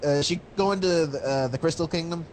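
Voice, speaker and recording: A man's voice: fairly high pitch, quick pace, slight background noise. A man on a livestream interjecting casually, repeating words as he cuts in. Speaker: guest commentator on a livestream.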